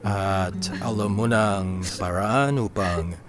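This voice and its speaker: A doting radio drama father with a fairly low voice and very slow delivery. He is tender and soothing, mixing in English phrases. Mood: tender, reassuring. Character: gentle, doting father of grown twin daughters.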